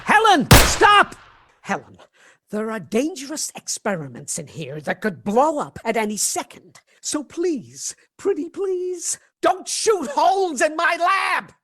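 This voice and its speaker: An exasperated, self-serving professor played by an actor with very lively intonation. The delivery is sarcastic and knowing, in spoof B-movie radio style. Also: sits high, unhurried, slight background noise, full character voice. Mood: pleading.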